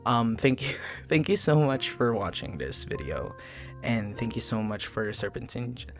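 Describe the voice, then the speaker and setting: A man hosting his own audio channel, chatting casually and directly to his listeners in an outro. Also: medium pitch. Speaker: voice actor and channel host.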